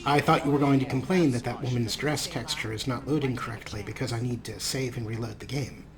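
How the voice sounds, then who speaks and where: A man's voice: mid-range voice, brisk, crowd noise. A man hosting a let's play, giving sarcastic, playful commentary and role-playing his character. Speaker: host.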